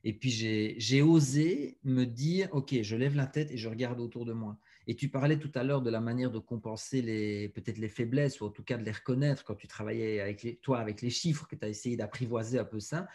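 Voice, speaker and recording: Guy in his 40s on a podcast, chatty and animated, keeps repeating words while he thinks it through. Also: medium pitch, brisk, hardly any pauses. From Belgium. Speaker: guest, author and speaker. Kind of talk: casual podcast interview.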